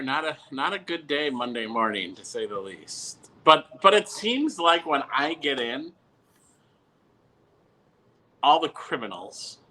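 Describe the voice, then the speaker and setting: A male podcast guest with a bright, punchy voice at a medium pitch. He banters casually about sports, doubling back on his own phrases and playfully talking himself up. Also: rough recording. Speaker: podcast guest who works at a TV station.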